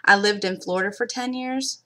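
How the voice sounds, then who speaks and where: A woman's voice: medium pitch, brisk, bright, forceful tone. A middle-aged woman from Kentucky with a mild Kentucky accent, chatting casually with her viewers during a live craft stream. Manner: chatty, talks to viewers in the chat. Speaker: livestream crafter.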